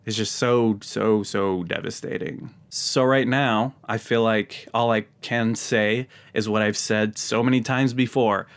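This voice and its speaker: A man's voice: lowish voice, steady tone, measured pace, hardly any pauses. Earnest American man talking to viewers. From United States. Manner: earnest, emphatic. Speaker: video host, religious commentator. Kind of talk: earnest talk to camera.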